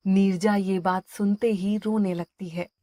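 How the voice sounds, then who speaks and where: A woman's voice: medium pitch, steady tone, unhurried, mellow, no echo, studio quality. A woman telling a scripted dramatic story as its narrator.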